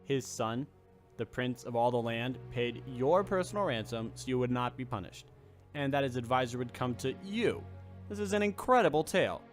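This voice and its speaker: A man's voice: medium pitch, animated, moderate pace, soft background music, close to an everyday voice. A man playing a vain, self-absorbed mayor with a pompous, distracted manner in a comic audio drama. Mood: pompous, dismissive. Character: vain, self-absorbed male mayor fussing over appearance.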